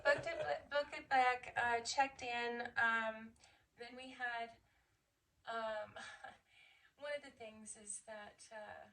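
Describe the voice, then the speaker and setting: A woman's voice: fairly high pitch, steady tone, slow pace, a bit bright. An American woman, a military veteran, telling her own story casually in an interview, chatty and self-deprecating. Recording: noisy, somewhat distant microphone.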